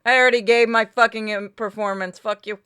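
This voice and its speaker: A woman's voice: a little high, steady tone, fairly quick, pushed and bright, clean tone. A woman giving casual, blunt commentary as she plays through a game. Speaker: game streamer. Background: game music.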